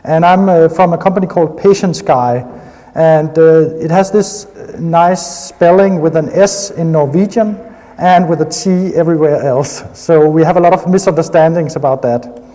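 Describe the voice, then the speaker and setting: A man with a Danish accent gives an enthusiastic technical talk at a conference, pausing often with hesitant fillers. His voice sits a little high yet sounds mellow and relaxed. The sound carries a long reverb from the hall. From Denmark. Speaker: conference speaker.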